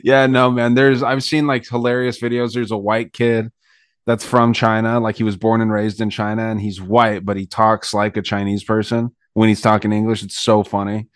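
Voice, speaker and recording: A man's voice: fairly low pitch, flat delivery, moderate pace, clean tone, dry recording. Dry-sounding man chatting, profane and slangy. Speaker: podcast host. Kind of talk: casual chat.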